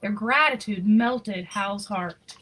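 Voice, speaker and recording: A woman reading a picture book aloud in an expressive storytelling style, with casual, informal asides. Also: medium pitch, slow, low-quality recording. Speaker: reader of picture books aloud.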